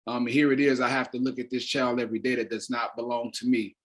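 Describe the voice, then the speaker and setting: A man's voice: mid-range voice, level tone, fast. An American man speaking as a guest playwright and director, giving casual promotional talk in an interview.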